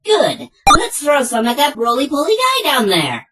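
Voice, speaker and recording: A woman's voice: high voice, huge pitch swings, moderate pace, fairly bright tone, exaggerated voice. A woman voicing a small, sarcastic, cheeky turtle minion in a comic book fan dub, mocking and exclamatory. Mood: sly. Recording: music behind the voice.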